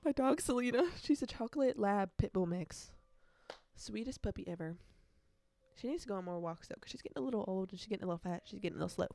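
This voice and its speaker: A woman's voice: medium pitch, lively intonation, quick pace, creaky, mellow. A nineteen-year-old woman chatting casually on a solo podcast, rambling and correcting herself, with youthful slang and emphatic repetition. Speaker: podcast host.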